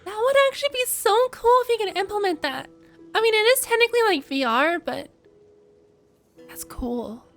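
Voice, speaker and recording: Female streamer, extremely high voice, casual expressive reactions, game music behind. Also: normal speed.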